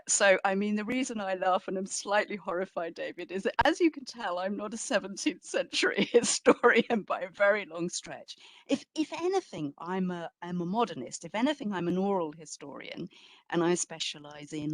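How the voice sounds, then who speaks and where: A woman speaking informally as a guest curator answering questions, with an animated, self-deprecating, emphatic manner. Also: on the low side, dry recording.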